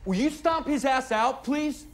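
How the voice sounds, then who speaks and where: A male actor playing a cocky, foul-mouthed young scam boss in an action film, excitable and brash. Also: extremely high pitch, a little slow. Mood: angry, brash. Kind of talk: film action drama acting. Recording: film soundtrack with music behind.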